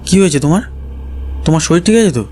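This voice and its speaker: A man's voice: a little high, lively intonation, fast, very noisy. A man narrating a scripted first-person horror story and acting out its dialogue. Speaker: narrator and lead character. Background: loud background music.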